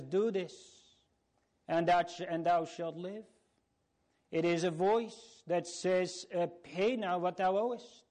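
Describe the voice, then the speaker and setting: Male preacher, higher-pitched voice, very slow halting delivery, solemn and exhortatory. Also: darkish tone. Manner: exclamatory "oh" openings, rhetorical questions. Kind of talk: solemn sermon.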